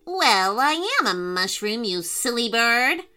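A woman's voice: fairly high pitch, sing-song, takes it slowly, pushed and bright, soft background music, exaggerated voice. A woman voicing a strange, cheeky, friendly giant talking mushroom in a children's story. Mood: mocking.

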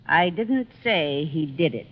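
A woman's voice: deep voice, lively intonation, unhurried, full character voice. A woman playing an earnest, stubbornly loyal office employee in a 1950s radio drama. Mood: insistent. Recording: dull 1950s radio recording.

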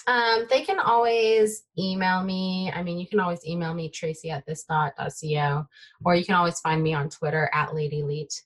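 A woman's voice: fairly low pitch, steady tone, moderate pace. A woman from the Bay Area, a native Taiwanese speaker, chatting enthusiastically as a podcast guest with lots of "like" and "you know". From United States, Bay Area. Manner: enthusiastic, talks with many fillers like "like" and "you know". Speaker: podcast guest, tech consultant. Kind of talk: casual interview chat.

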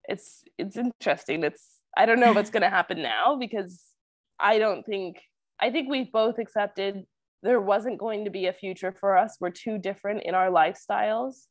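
A woman's voice: mid-range voice, normal speed, no echo. A young woman from Canada with a Canadian accent, talking casually as an interview guest, saying "like" constantly and laughing often. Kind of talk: casual chat.

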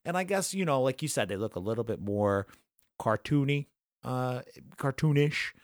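A man hosting a podcast, talking casually in a relaxed, rambling way with lots of fillers and restarts. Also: mid-range voice, moderate pace, no room sound, studio quality. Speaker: podcast host. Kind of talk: casual chat.

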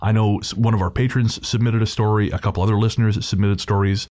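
A man's voice: fairly low pitch, steady tone, fast talker, a little mellow, no echo. A man talking casually as the host of a podcast, introducing the show.

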